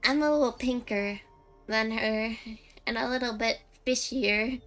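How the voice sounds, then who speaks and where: A young woman streams a game, tossing out playful, giggly remarks between scenes. Her voice is a touch high, with faint game music behind her. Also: slow pace, fairly bright tone. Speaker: VTuber streamer. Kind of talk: casual live-stream chatter.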